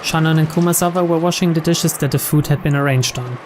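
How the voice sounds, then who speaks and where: A man's voice: fairly high pitch, fast talker. A man reading story text from a visual novel aloud as narration. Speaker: let's player reading game text aloud. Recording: clear background noise.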